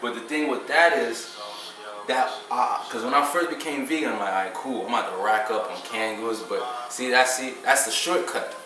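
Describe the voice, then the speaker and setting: A man's voice: medium pitch, moderate pace, background noise. A man talking loosely and at length as host, preaching in a casual way with frequent repeats and swearing. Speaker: vegan podcast host. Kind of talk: casual rambling talk. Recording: roomy echo, mic at a distance.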